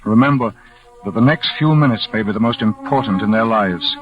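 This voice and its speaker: A man playing a calm, earnest, protective gentleman friend in measured 1940s radio drama style. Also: on the low side, music in the background, close to an everyday voice. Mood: grave urging. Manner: measured, solemn. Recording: dull vintage radio broadcast.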